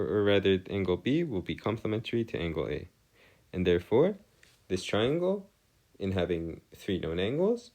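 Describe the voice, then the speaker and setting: Slow, deep-voiced man tutoring math. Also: darkish tone. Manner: formal, wordy phrasing, hesitant, self-correcting. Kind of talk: informal lecture, explaining step by step.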